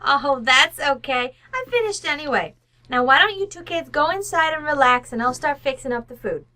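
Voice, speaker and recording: Woman playing a brash, high-pitched, bossy housekeeper. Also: expressive, moderate pace, bright, forceful tone. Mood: matter-of-fact, in charge. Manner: blunt, slangy speech with "ain't" and "gotta". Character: brash, bossy working-class housekeeper, blunt and unbothered. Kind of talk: old-time radio sitcom acting.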